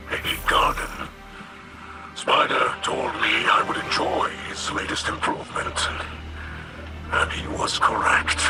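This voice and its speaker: A man voicing a wise, gentle alien leader in a video game, solemn and formal, with a breathy growl. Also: lowish voice. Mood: warm, hopeful. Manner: solemn, measured, formal phrasing. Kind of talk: video game cutscene acting.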